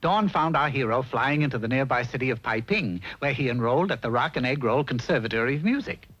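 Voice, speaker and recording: Animated American cartoon narrator, a man. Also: medium pitch, quick pace. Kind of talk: arch, theatrical 1960s cartoon storybook narration. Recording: dull, faintly noisy old TV soundtrack.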